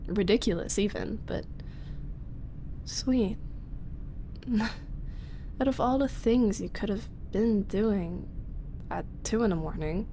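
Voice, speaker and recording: A young woman voicing a shy roommate who secretly runs an ASMR channel, speaking softly in half-whispers with frequent small laughs and halting pauses. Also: mid-range voice, slight background noise. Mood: tender amused. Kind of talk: ASMR audio roleplay.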